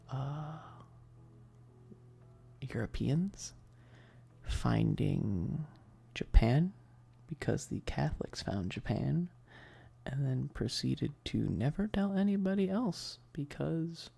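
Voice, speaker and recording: A soft-spoken ASMR livestream host who chats casually in a breathy voice that often drops to a whisper, rambling and hesitant in a self-deprecating way. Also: medium pitch.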